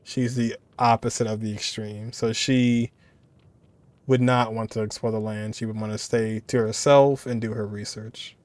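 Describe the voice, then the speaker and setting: A man's voice: fairly low pitch, flat delivery, normal speed, darkish tone, dry recording. A man giving casual reaction commentary, musing aloud informally and repeating phrases. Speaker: reaction video creator.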